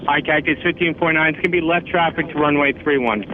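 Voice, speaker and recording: A man's voice: fairly high pitch, steady tone, fast. A man working as an air traffic controller, giving clipped procedural calls over the radio. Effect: radio transmission. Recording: noisy, narrow-band air traffic radio.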